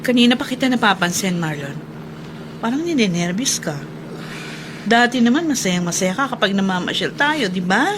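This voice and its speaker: Actress as gentle mother in noisy car. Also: mid-range voice, animated, moderate pace, lightly acted. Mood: gentle, attentive. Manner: gentle, solicitous. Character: honest, caring mother riding along, gently attentive. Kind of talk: radio drama acting, natural. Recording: clear vehicle noise behind voice. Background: vehicle ambience.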